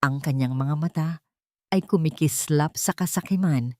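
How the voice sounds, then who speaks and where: A woman's voice: deep voice, normal speed, darkish tone, dry recording, clean studio sound. A woman storyteller reading dramatic scripted fiction narration.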